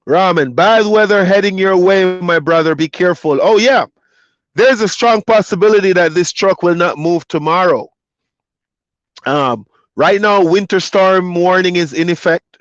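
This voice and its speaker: A man's voice: sits high, dry recording, dull audio. A man hosting a live stream as a trucker, talking casually and reading chat comments aloud. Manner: laid-back, loose, reads chat comments aloud.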